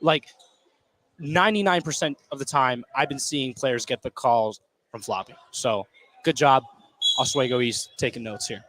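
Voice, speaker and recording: A man's voice: medium pitch, moderate pace, a bit bright. An American man giving informal, slangy, opinionated colour commentary on a live basketball broadcast. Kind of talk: casual live sports analysis. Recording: some gym noise behind live broadcast. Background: indoor basketball gym.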